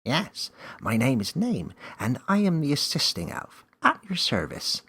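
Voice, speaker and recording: A man's voice: medium pitch, wildly swooping pitch, a little slow, fairly bright tone, husky, voice pushed up, exaggerated voice. A man voicing a tiny, cheeky elf in a children's story, friendly and bossy, with a teasing, theatrical manner. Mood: eager.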